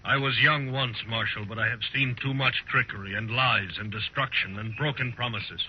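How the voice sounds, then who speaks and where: An actor playing a proud, embittered middle-aged war leader in a 1950s radio western, speaking in formal, measured sentences. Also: male voice, mid-range voice, fairly even intonation, natural voice. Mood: proud, bitter. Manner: formal, measured speech without contractions. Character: proud, embittered older Cheyenne war leader, grave and fatalistic. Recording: noisy, muffled 1950s radio recording.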